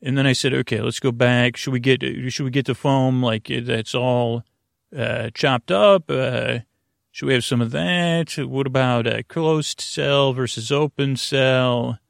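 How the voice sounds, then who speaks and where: Man, creaky gravelly voice, podcast host, lulling bedtime ramble, halting and meandering, dry close sound. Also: medium pitch, fairly even intonation, no echo. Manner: meandering, halting, lulling delivery with frequent digressions.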